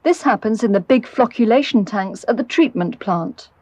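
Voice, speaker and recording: A woman's voice: fairly high pitch, lively intonation, normal speed, a little mellow, dry recording. British woman narrating, dry close recording. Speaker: documentary narrator. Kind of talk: scripted educational film narration.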